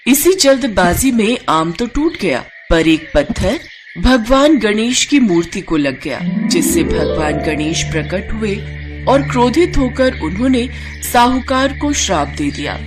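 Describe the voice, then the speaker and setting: A woman's voice: mid-range voice, sing-song, measured pace, music in the background. A woman storyteller reading a scripted devotional story.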